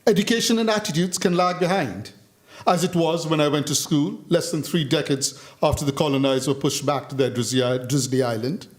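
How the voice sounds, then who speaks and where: A man from India reading his own literary essay aloud on stage, in a measured, rhythmic way with deliberate pauses. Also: on the high side. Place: stage of a public talk venue.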